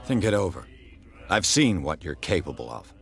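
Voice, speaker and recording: A man's voice: low-pitched, very animated, moderate pace, creaky, a bit bright, exaggerated voice. A man voicing a dry, blunt, sardonic male detective. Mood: wry.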